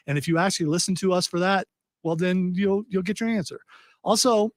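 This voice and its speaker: A man's voice: high voice, fairly quick, no room sound. A man hosting a live pop-culture talk show, chatty and joking, with loose rambling asides, talking into a studio mic. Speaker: podcast host. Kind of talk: casual live show chat.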